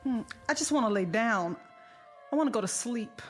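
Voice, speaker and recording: Radio drama mother, American female actor: high-pitched, anxious, worn out and near panic, faint music beneath. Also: expressive, moderate pace, lightly acted. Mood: weary, fearful. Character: frightened, weary wife and mother near panic.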